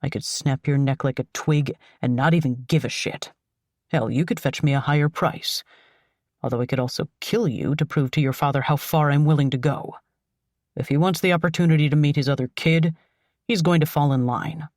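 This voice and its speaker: Woman putting on a gruff male kidnapper boss. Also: low-pitched, fairly even intonation, moderate pace, a little mellow, no room sound, clean studio sound, lightly acted. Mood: menacing. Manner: cold, contemptuous, threatening.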